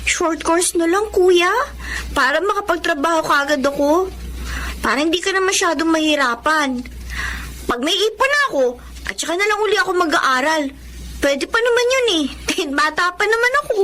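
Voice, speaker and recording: A woman's voice: very high voice, expressive, normal speed, bright, forceful tone, a little hiss or hum, full character voice. A woman playing a sweet, devoted schoolgirl little sister, girlish and affectionate, in a Filipino radio drama. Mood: earnest, tender.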